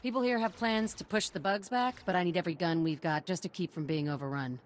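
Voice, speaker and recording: An actress playing a no-nonsense female lieutenant in a video game, brisk and wry. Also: fairly low pitch, close to an everyday voice. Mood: wry, businesslike. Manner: brisk, wry military talk. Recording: faint ambient noise.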